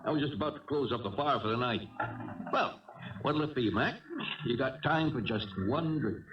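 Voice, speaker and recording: An actor playing a chatty, folksy barkeeper in a radio drama. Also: male voice, medium pitch, normal speed, close to an everyday voice. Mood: casual, grumbling. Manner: folksy, slangy. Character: chatty, gruffly familiar male barkeeper closing up. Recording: muffled old radio recording, distant, music behind.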